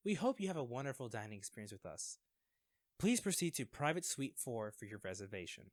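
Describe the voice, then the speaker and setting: A man's voice: medium pitch, big pitch swings, fairly quick, no echo, close to an everyday voice. Man as polite restaurant announcer, dry sound. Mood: formal, polite. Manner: formal, polite. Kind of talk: scripted customer service announcement.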